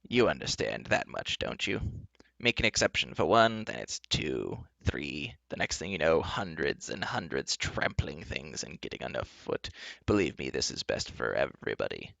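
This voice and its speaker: A man voicing a plump, cheerfully menacing old enchantress from a storybook, coaxing and indulgent as she calmly threatens. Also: a bass voice, moderate pace, vocal fry, no room sound, voice pushed down, full character voice, poor audio. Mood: soothing, menacing. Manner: kindly, coaxing, matter-of-fact about threats. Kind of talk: storybook character reading.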